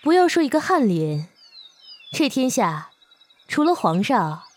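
A woman's voice: a little high, very animated, a little slow, darkish tone. A woman playing a loyal, practical attendant to a princess in a Chinese costume audio drama. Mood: urgent, advising.

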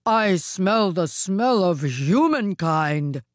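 A woman voicing a large, wicked male ogre in a storybook, gruffly polite, with emphatic, drawn-out words. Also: on the low side, animated, no echo, studio quality. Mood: polite, suspicious.

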